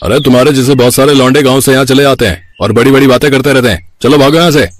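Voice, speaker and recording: A man voicing a gruff older airport guard in a cartoon story, scolding and dismissive. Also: mid-range voice, fairly even intonation, fast, natural voice. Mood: irritated, contemptuous.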